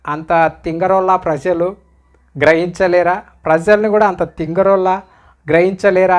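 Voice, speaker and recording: Male commentator, speaking straight to viewers, admonishing, full of pointed questions. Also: high voice. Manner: admonishing, questioning, repeatedly urging listeners to reflect.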